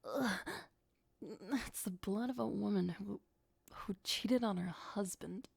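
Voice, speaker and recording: A woman plays an ancient vampire girlfriend in an ASMR roleplay, sweet, teasing and possessive. Her voice is soft, breathy and mellow, often dropping to a whisper. She takes her time, in a close, dry sound. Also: mid-range voice, takes it slowly, no echo, heavily acted. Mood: disgusted.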